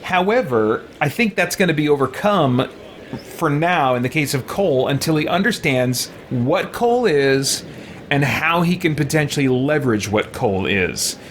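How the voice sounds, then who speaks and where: A man hosting a gaming video, giving casual, rambling and reflective commentary in an expressive way. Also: fairly high pitch, normal speed, a little hiss or hum. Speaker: gaming video host, roleplayer. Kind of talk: casual chatty game commentary.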